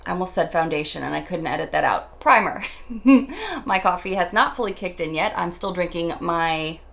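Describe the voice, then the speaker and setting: A woman beauty vlogger chatting casually while doing her morning makeup, her voice raspy from just waking up, a little nervous and rambling, tripping over her words and laughing at herself. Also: on the low side, normal speed, fairly bright tone. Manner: nervous, self-deprecating, rambling with stumbles. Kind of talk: chatty get-ready-with-me monologue.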